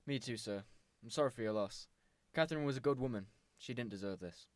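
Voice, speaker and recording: A man's voice: mid-range voice, brisk, dry recording, natural voice. A man playing an earnest police inspector in an amateur radio drama, matter-of-fact and full of questions. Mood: polite. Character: earnest, businesslike male police inspector investigating murder.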